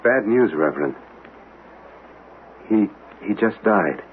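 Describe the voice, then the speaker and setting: Earnest, low-pitched gentleman. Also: expressive, slow. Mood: shaken, grave. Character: earnest, courteous gentleman visitor in a ghost mystery. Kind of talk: radio drama acting. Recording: narrow, noisy old radio broadcast.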